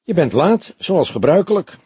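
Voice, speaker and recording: A man reads as a young police detective in a crime audiobook. He is earnest, inquisitive and lightly teasing, with a very husky tone and lively pitch. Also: moderate pace, dry recording, heavily acted. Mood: wry. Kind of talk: acted dialogue in an audiobook.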